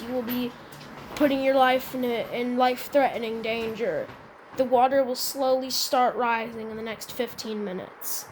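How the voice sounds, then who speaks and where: A woman's voice: sits high, a little mellow, slight background noise, poor audio. A woman giving an improvised emergency announcement in an amateur role-play, stumbling often and repeating her phrases. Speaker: announcer in a hobby emergency alert scenario.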